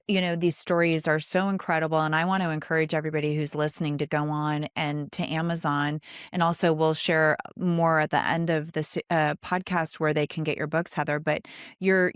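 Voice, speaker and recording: A woman's voice: low voice, smooth, dry recording, studio quality. A woman hosting a podcast interview, speaking conversationally in an earnest, effusive way. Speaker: podcast host.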